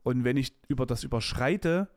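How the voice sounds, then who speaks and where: A man's voice: medium pitch, moderate pace, no echo, clean studio sound. A man in his late twenties hosting a podcast, talking openly in a rambling, candid way full of slang and restarts. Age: late 20s. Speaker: podcast host. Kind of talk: casual personal monologue.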